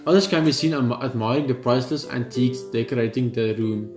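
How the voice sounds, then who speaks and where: A man's voice: mid-range voice, normal speed. A man hosting a let's play, reading game text aloud with casual asides and stumbling over the odd word. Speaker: gaming video host. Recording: echoey room, game music behind.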